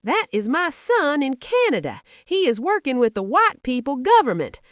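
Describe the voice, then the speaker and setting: A woman's voice: sits high, normal speed, no echo, pitched above the performer's own voice. A woman voicing a proud, dramatic Nigerian mother from the market in a first-person folktale, with a Nigerian accent. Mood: boastful. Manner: dramatic, expressive.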